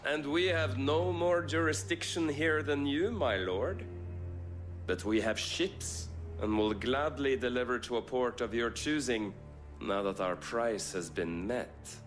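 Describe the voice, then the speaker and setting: An actor playing a haughty, hard-edged royalist soldier in a video game, speaking formally. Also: male voice, medium pitch, measured pace, faint music behind, lightly acted. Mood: haughty, businesslike.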